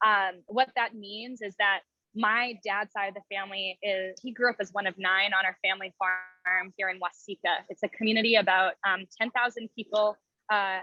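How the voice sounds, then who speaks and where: A woman's voice: medium pitch, pushed and bright, no room sound, dull audio. A young woman in her twenties, giving a casual talk over a video call, chatty and full of fillers and restarts. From Thailand and Minnesota. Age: 20s. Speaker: guest speaker. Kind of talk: casual presentation.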